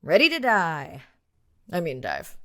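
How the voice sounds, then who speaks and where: A woman's voice: low-pitched, wildly swooping pitch, slow, fairly bright tone, exaggerated voice. A woman playing a wry, adventurous diver in a game, speaking as in a spoken expedition log. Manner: wry, dry humor.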